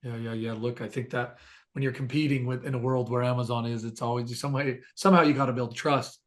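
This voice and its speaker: A man's voice: medium pitch, steady tone. A man hosting an interview, upbeat and affirming toward his guest. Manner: upbeat, affirming, quick to laugh. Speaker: interview host.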